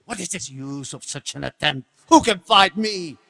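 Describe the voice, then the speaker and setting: A man's voice: high-pitched, expressive, vocal fry, exaggerated voice. A man playing an arrogant, wrathful demon king in a dramatic reading, theatrical and menacing, drawing out his scornful words. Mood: scornful menace. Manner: theatrical, menacing, drawn-out delivery with shouts. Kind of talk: dramatic scripture reading on stage.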